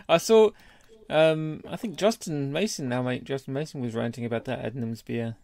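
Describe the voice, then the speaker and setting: A man's voice: mid-range voice, normal speed, gravelly, darkish tone. A British man co-hosting a podcast, speaking in a casual, conversational way. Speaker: podcast co-host. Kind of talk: casual beer podcast chat.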